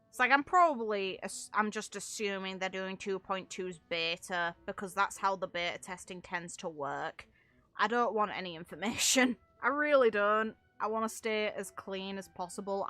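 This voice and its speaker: A woman's voice: medium pitch, a bit bright, no echo. A woman game streamer giving casual, rambling livestream commentary, explaining things at length with casual swearing.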